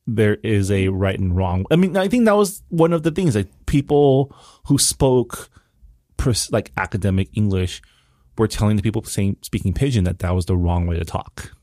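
A man from Hawaii co-hosting a book podcast, speaking in a relaxed, casual way with many fillers. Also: fairly low pitch, normal speed, no echo, studio quality. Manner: relaxed, filler-heavy, laughs along. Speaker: podcast co-host.

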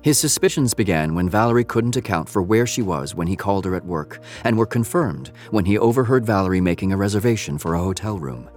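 Give me a man narrating a literary audio drama. His pitch sits a little low and his delivery is measured, like careful storytelling, over faint music. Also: animated, a little mellow.

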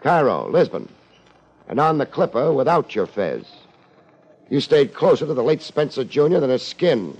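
An actor playing a tough, wisecracking American private detective in a 1950s radio drama, with a sardonic, clipped delivery. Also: male voice, mid-range voice, very animated, moderate pace, darkish tone, slightly rough. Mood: angry, demanding. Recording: dull old 1950s radio recording.

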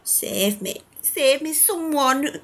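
An actress playing a terrified old woman ranting in the street, pleading and lurching between shouts and whispers. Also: sits high, animated, takes it slowly, exaggerated voice. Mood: raving. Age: older.

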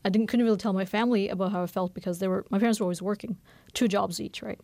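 Novelist guest, woman in her thirties, quick, candid, lots of "you know", some vocal fry, dry studio sound. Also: medium pitch, no echo. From Bangladesh. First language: Norwegian. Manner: fast, candid, animated with many fillers. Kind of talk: conversational radio interview.

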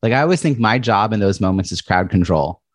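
A male podcast host, chatty and expressive, introducing and talking with a guest. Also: fairly low pitch, a little fast, no room sound, clean studio sound. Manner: chatty, says he has a loud voice and big presence. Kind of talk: interview podcast.

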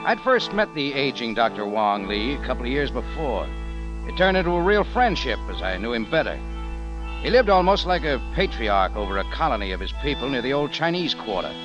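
An actor playing a wry, easygoing American cafe owner and adventurer in a 1950s radio drama, with casual noir-style delivery. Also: male voice, mid-range voice, big pitch swings, brisk, natural voice, low-quality recording. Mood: intrigued, narrating. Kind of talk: 1950s radio drama acting, first-person noir narration. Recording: vintage radio with music behind.

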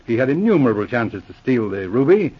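An actor playing a patient, methodical Mountie sergeant who teases dryly, in 1940s radio acting. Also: male voice, mid-range voice, big pitch swings, a little fast, breathy edge. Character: methodical, dry-witted male Mountie sergeant. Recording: dull lo-fi old radio broadcast.

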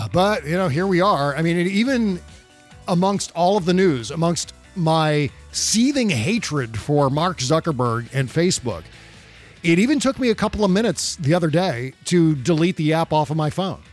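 Middle-aged male podcast host, casual and profane, slightly high voice. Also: lively intonation, moderate pace, faint music behind. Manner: conversational, profane, many fillers. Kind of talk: casual political chat.

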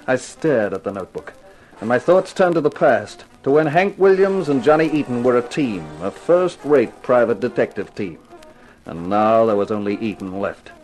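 Hardboiled, cool-headed male private investigator, played by an actor, terse and wry, medium-pitched, animated 1950s radio style. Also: moderate pace, soft background music, close to an everyday voice, poor audio. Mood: grim, brooding. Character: tough, cool-headed male private detective narrating his case. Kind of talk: 1950s radio detective drama acting.

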